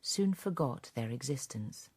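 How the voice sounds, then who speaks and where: A man as a measured storyteller, narrating an animal fable in a scripted radio drama. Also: mid-range voice, animated, darkish tone, slightly rough, dry recording.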